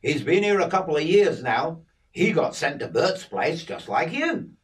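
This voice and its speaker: Man playing a young Australian country bloke, chatty, easygoing, lively voice. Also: on the high side, a little slow, distant mic, voice pushed up.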